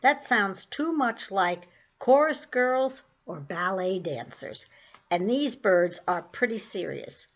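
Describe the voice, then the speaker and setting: A woman's voice: medium pitch, expressive, slow pace, highs cut off. A woman reading the part of a polite, earnest middle-aged man with a troupe of penguins, in a mild, courteous manner.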